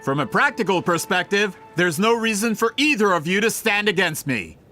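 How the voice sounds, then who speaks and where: An actor playing a smug, greedy businessman villain in a video game, with theatrical, drawn-out gloating. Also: sits high, lively intonation, pushed and bright, faint music behind.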